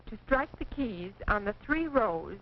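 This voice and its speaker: An American woman reading scripted 1940s training film narration in a precise, measured, instructive manner. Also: medium pitch, very animated. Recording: dull, noisy 1940s film soundtrack.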